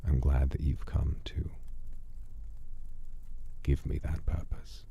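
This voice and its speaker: A man hosting a livestream, chatting casually and reflectively in a soft-spoken, sincere, unhurried way that often drops to a breathy murmur. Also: a bass voice, little pitch movement, lo-fi sound, a little hiss or hum. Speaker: livestream host.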